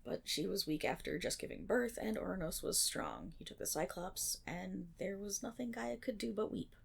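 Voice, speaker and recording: Woman as enthusiastic nerdy female best friend, ASMR roleplay storyteller, mid-pitched with gravelly fry, wry and teasing. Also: full character voice. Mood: grave storytelling. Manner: animated, wry, dramatic pauses and playful asides.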